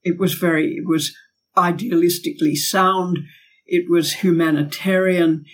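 A woman's voice: fairly low pitch, steady tone, measured pace. A woman, a former diplomat and academic, speaking as a guest in a podcast interview and arguing her points emphatically with rhetorical questions. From Australia.

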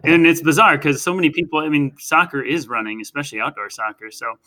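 A man's voice: on the high side, fast, sharp tone. A man co-hosting an interview, chatting in a talkative, opinionated way and explaining his points at length. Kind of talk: casual interview chat.

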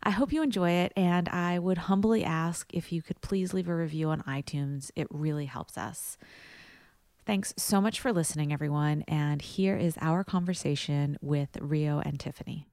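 A woman hosts a podcast interview, asking friendly, measured questions. Her voice sits low for a woman. The sound is dry and close. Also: darkish tone, dry recording. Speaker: podcast host.

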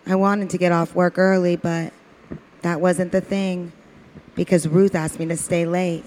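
A woman's voice: on the low side, flat delivery, unhurried, darkish tone, close to an everyday voice, low-quality recording. A woman playing a lonely, put-upon waitress in a radio comedy, with a rambling, hesitant, self-deprecating manner. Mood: wistful, rambling. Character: lonely, put-upon drive-in waitress who loves her cat.

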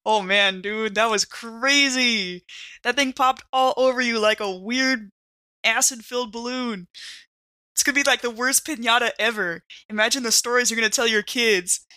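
A woman's voice: on the high side, moderate pace, bright, forceful tone, no room sound. A woman playing a tabletop role-playing game, bantering casually with the group.

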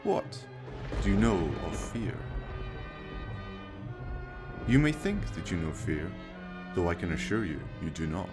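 A man playing a sinister, courtly fireside storyteller, narrating a horror radio play with slow, mock-polite, menacing theatricality. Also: sits low, very animated, over music. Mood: menacing, scornful.

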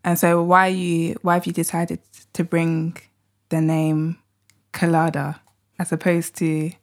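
A woman's voice: on the low side, completely flat delivery, a little slow. A woman hosting a podcast, asking her guest questions in a friendly, curious way. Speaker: podcast host.

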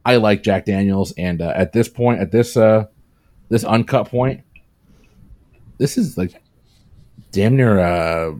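A man's voice: lowish voice, slow pace, long pauses, a little mellow, no room sound. A man on a podcast panel chatting in a laid-back, drawling way. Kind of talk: casual podcast chat.

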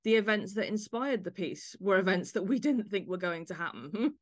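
A woman's voice: medium pitch, expressive, moderate pace, no room sound, studio quality. A woman hosting a podcast, chatting conversationally with a guest about drama. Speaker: podcast host. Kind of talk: interview chat about a play.

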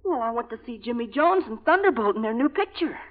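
A dull old radio recording of an eager boy. The voice is high, excitable and bouncing in pitch, played in 1940s radio comedy style. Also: fast.

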